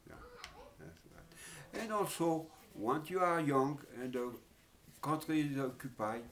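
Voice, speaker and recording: An older man with a French accent, recalling his past in an interview, speaking haltingly with many pauses and fillers. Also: a little high, fairly bright tone. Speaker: oral history interviewee. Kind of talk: reminiscing in an interview.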